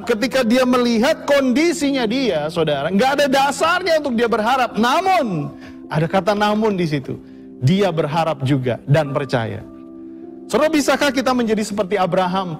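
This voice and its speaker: A pastor preaching a sermon to his congregation, addressing them again and again. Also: high-pitched. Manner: preaching to the congregation, often calls them brothers and sisters.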